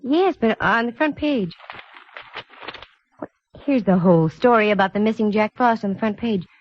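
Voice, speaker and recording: An actress playing a wisecracking female private investigator, with dry, breezy deadpan delivery in 1940s radio drama style. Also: medium pitch, normal speed, natural voice. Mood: dry, brisk. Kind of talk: 1940s radio detective acting and first-person narration. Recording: muffled, noisy 1940s radio recording.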